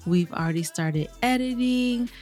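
A woman co-hosting a podcast in casual chat, bubbly and quick to laugh. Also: a little high, animated, a little mellow, faint music behind. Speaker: podcast co-host.

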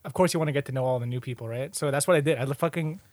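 A man's voice: medium pitch, racing, a little mellow, dry recording. A young man telling stories in casual banter, emphatic, with slang and swearing.

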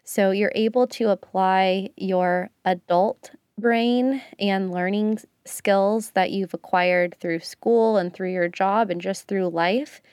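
Woman giving earnest language-learning advice, smooth clear voice, dry close recording. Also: medium pitch, fairly even intonation, slow pace, hardly any pauses, a little mellow, dry recording. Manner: earnest, explanatory, uses repetition for emphasis. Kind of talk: casual advice talk.

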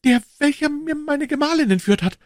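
A high-pitched, fawning little shopkeeper. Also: male voice, big pitch swings, brisk, no room sound, studio quality. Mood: awed, flustered. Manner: fawning, flustered. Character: muddled, servile, timid shopkeeper husband. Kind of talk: audiobook character dialogue.

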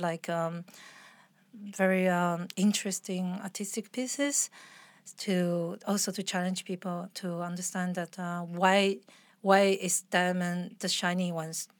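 A woman's voice: medium pitch, no room sound. Chatty woman, dry sound. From Taiwan. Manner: chatty, laughs often, restarts sentences. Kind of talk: casual interview conversation.

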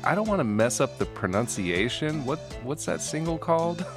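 A man hosting a relaxed interview, talking with lots of fillers and restarts. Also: fairly high pitch, moderate pace, over music. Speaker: podcast host. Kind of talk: casual interview.

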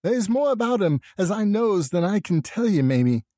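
A man's voice: sits high, big pitch swings, normal speed, mellow, no room sound, studio quality, pitched above the performer's own voice. A man voicing a frightened, downtrodden rural mother in a horror story, complaining plaintively in rustic dialect. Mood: fearful complaint.

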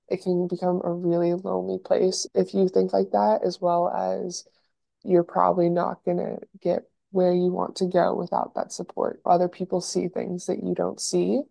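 A young woman guest on a podcast, speaking earnestly with a soft early-morning voice. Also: on the low side, monotone, normal speed, creaky, dry recording. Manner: earnest and reflective. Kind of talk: casual podcast interview.

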